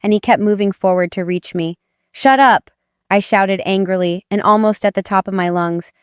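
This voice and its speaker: A woman narrates a romance audiobook in a dramatic first-person style. Her voice sits at a medium pitch and is smooth and crisp. The recording is close and dry, with no room sound. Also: flat delivery, brisk, dry recording.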